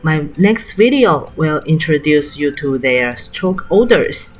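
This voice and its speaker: A woman whose first language is Mandarin Chinese, teaching in a careful, clearly spaced scripted tutorial voice. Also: deep voice, big pitch swings, slow pace, soft background music.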